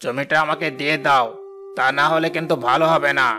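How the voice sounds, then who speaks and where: A man's voice: fairly high pitch, fairly even intonation, a little fast, pushed and bright. A man voicing a greedy, menacing village landlord in a fairy-tale cartoon, pressing and threatening as he talks. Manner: insistent, threatening.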